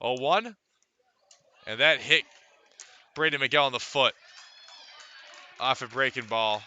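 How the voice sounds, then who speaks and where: A man's voice: mid-range voice, unhurried, sharp tone, muffled recording, a little hiss or hum. A man calling live baseball play-by-play for radio, mixing clipped stat readouts with fuller descriptions of the action. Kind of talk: live radio sports commentary.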